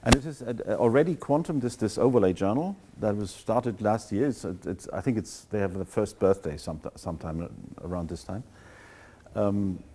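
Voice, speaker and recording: A man's voice: lowish voice, brisk, background noise. A man, the guest speaker, discussing after his lecture and thoughtfully searching for words.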